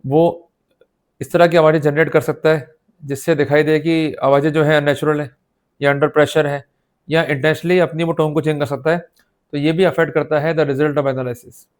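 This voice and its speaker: Higher-pitched Indian man giving a lecture. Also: level tone, normal speed. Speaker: law lecturer. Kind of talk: explanatory legal lecture mixing Hindi and English.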